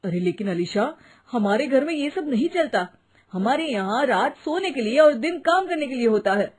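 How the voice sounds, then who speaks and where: A woman's voice: high voice, lively intonation, normal speed, darkish tone, breathy edge. A woman voicing an earnest young husband and son in a comic cartoon story, protesting and explaining reproachfully.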